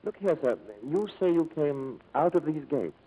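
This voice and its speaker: Concerned English gentleman's aide male actor, polite, animated pitch, 1940s radio drama with clear noise. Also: moderate pace, lightly acted. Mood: concerned, probing. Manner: polite, earnest. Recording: noisy old radio drama recording.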